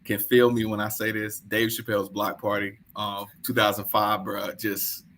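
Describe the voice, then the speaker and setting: Low-voiced laid-back man on a call. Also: little pitch movement, normal speed, a bit bright. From High Point, North Carolina. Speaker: panel guest. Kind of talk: casual chat on a video call. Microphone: video call.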